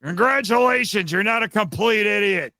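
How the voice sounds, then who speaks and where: Male podcast guest, high-pitched and gravelly-edged, teasing mock-superior trivia explainer, casual delivery. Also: normal speed, bright, forceful tone, no room sound, clean studio sound. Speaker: podcast guest, fan audio drama writer and voice actor.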